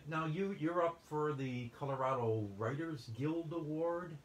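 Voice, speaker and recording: A man's voice: a little high, a little slow. Chatty male interviewer, stumbling starts, echoey room with faint background hum. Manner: chatty, repeats words, laughs often. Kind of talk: casual interview.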